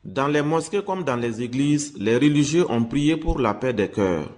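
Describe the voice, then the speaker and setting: A man's voice: mid-range voice, animated, moderate pace, a little mellow. A man reading scripted narration as the reporter of a radio magazine. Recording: clearly noisy with ambient background.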